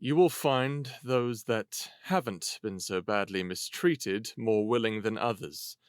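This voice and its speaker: Calm, dry-recorded older envoy. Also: male voice, fairly low pitch, clean tone, no echo. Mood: even, candid. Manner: calm, plain-spoken, measured delivery. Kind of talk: dramatic audio fiction acting.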